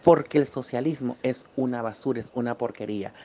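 A man's voice: mid-range voice, brisk, dark tone, highs cut off. A man from Spain with a Venezuelan accent, a political scientist giving an emphatic, indignant testimony from Miami. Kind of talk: recorded personal testimony.

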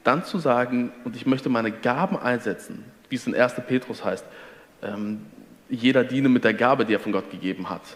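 A man from Ostwestfalen explains faith in an earnest, teacherly tone, citing scripture as he goes. His voice sits at a middling pitch. A long reverb hangs on the room around him. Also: moderate pace. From Ostwestfalen, Germany. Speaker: guest. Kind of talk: faith conversation.

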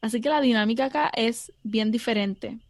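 A woman's voice: fairly high pitch, moderate pace, dry recording. Chatty Puerto Rican woman, dry sound. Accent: Puerto Rican Spanish. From Puerto Rico. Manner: earnest, chatty, many fillers, mixes in English words. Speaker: theology student, interviewee. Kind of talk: casual interview answers.

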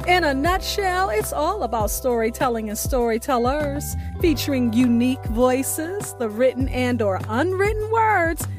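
A woman's voice: high-pitched, expressive, unhurried, few pauses. A woman hosting a storytelling radio show, delivering a scripted introduction in an emphatic, theatrical manner. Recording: music behind the voice.

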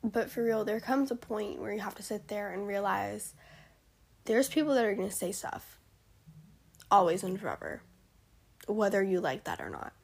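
A hoarse young woman hosting a solo podcast, speaking in an encouraging, heartfelt way, her voice cutting in and out and often dropping to a whisper. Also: medium pitch, a little mellow. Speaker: podcast host. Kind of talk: solo motivational podcast talk.

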